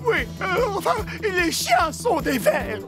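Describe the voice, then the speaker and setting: Good-natured male cartoon boar: simple, earnest, very high voice, animated swings of pitch. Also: slow pace, loud music behind the voice, heavily acted. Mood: offended.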